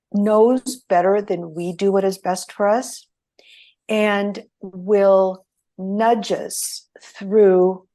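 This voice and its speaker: A woman whose first language is Greek, speaking as an interview guest in a reflective, halting storytelling way. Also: mid-range voice, mellow. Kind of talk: interview conversation.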